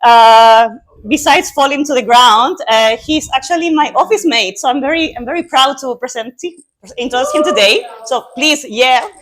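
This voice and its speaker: A woman's voice: high voice, animated, moderate pace, a bit bright, a little hiss or hum. A young woman with a Chilean accent, a native Spanish speaker, chattily introduces a speaker as host of an informal event.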